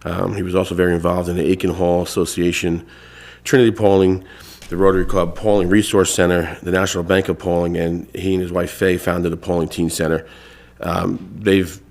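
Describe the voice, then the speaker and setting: Low-voiced, stuffed-up man in his thirties, chairing a town meeting, loose and halting. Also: little pitch movement, darkish tone. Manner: casual, filler-heavy, restarts phrases. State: congested. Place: town hall meeting room.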